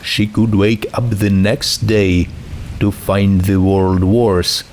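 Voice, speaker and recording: A man narrating scripted fan fiction in a measured, dramatic storytelling manner. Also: fairly low pitch, steady tone, darkish tone, slight background noise.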